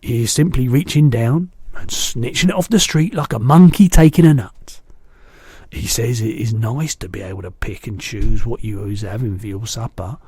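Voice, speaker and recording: A man reading aloud and voicing a big, kindly male giant who mangles his words, in a louder and higher voice than his own. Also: normal speed, slightly rough, no echo, natural voice. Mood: earnest. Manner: jumbled made-up words, booming and eager.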